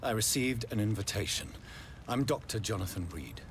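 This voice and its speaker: A man with an English accent playing a brooding, haunted gentleman doctor turned vampire in a video game, speaking gravely and formally. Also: on the low side, lively intonation, normal speed, very noisy. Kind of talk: dramatic game voice acting.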